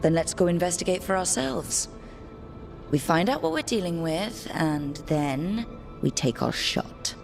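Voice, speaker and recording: A resolute fantasy party leader, with a low, firm voice. She speaks decisively, like a game heroine in charge, with loud music underneath. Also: lively intonation, unhurried, creaky. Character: resolute woman adventurer leading a fantasy party. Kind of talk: video game cutscene acting.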